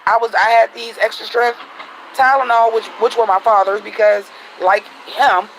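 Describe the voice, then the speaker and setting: A man vlogging at home at night, venting bluntly and profanely in a casual monologue, his voice a little raspy. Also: high-pitched, flat delivery, moderate pace, a bit bright, slight background noise, poor audio.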